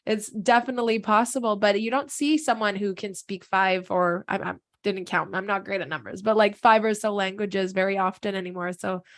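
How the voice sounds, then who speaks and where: A woman's voice: mid-range voice, steady tone, fairly quick, few pauses. A woman hosting a casual interview, asking questions and reacting with enthusiasm. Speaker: interview host.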